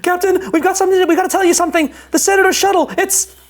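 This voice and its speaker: A woman voicing an anxious, rule-following young male starship ensign in a scripted animated sci-fi read, fretful and earnest. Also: very high-pitched, fast talker. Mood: panicked. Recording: music under the voice.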